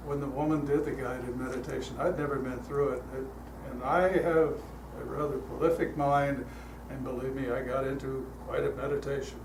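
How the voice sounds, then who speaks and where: An older man speaking as a guest at a church, telling stories in a relaxed, chatty way with frequent fillers, his voice calm. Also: medium pitch, moderate pace, hardly any pauses. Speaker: guest speaker leading a guided meditation. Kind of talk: storytelling talk to a congregation. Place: church hall. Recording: echoey hall, heard from a distance, noisy.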